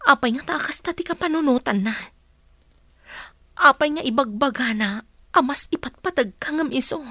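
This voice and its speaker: Woman acting a high-voiced worried village mother. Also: normal speed, pushed and bright, no echo, studio quality. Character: worried, loving middle-aged village mother. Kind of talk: Filipino radio drama acting.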